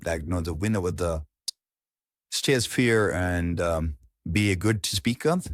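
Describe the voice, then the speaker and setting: Low-pitched Indian man giving instruction. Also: dry recording, clean studio sound. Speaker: public speaking coach. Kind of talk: informal instructional talk on public speaking.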